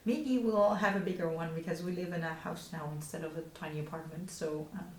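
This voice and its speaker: A woman in her thirties speaks English with a Dutch accent, not her first language. She chats casually about her knitting, stopping often for fillers and restarts and laughing softly now and then. The room gives her voice a clear echo, with a faint hiss underneath. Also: lowish voice, steady tone, fairly quick. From Netherlands. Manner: hesitant, many fillers and restarts, frequent small laughs. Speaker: knitting podcast host. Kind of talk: casual show-and-tell chat.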